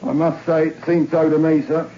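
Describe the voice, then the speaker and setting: A man's voice: on the high side, flat delivery, normal speed, natural voice. An actor playing a loyal male prison warder who answers his governor respectfully and matter-of-factly in a 1960s radio drama. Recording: dull lo-fi old radio recording with faint hiss.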